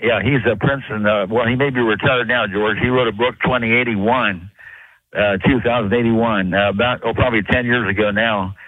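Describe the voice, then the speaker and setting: A man's voice: lowish voice, fairly even intonation, normal speed, breathy edge, dry recording. A man calling into a radio show who rambles, restarts and fills with hesitations. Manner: rambling, many fillers and restarts. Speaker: caller. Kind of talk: call-in radio talk. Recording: tinny phone line.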